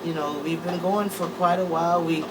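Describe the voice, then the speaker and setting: A woman's voice: fairly low pitch, steady tone, normal speed. A woman, speaking as an interview guest in easygoing, hesitant casual talk with many fillers, in a music production room. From Trinidad and Tobago. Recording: distant mic with air-conditioning hum.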